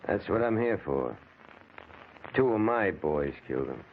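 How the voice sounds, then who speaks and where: A confident, cold gangster in a radio crime drama. His deep voice is blunt and matter-of-fact. The old recording is narrow and tinny, with faint noise. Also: sing-song, a little slow, gravelly, full character voice. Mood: cold menace. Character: cold, confident male racketeer, casually menacing.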